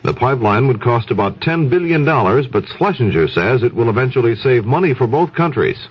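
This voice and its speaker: A man's voice: medium pitch, lively intonation, a little fast, a little hiss or hum. An American man reading a scripted news bulletin as a radio anchor, on a dull old 1970s radio broadcast.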